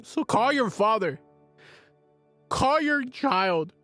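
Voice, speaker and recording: Guy with a high voice preaching life advice, really emphatic and earnest, music under him. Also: lively intonation, slow, bright, forceful tone. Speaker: show host and father. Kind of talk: motivational monologue, direct address to listeners.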